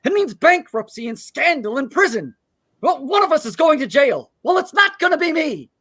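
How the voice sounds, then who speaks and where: A man's voice: very high voice, very animated, moderate pace, pushed and bright, a little hiss or hum, heavily acted. An actor playing a frantic, bitter family man in a radio play, volatile and agitated. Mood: furious. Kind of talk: old-fashioned radio play acting.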